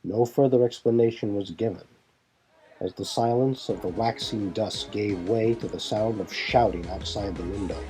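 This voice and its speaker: A man's voice: lowish voice, moderate pace, warm tone, poor audio. A man delivering scripted, dramatic narration for an audio drama. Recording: music bed behind the voice.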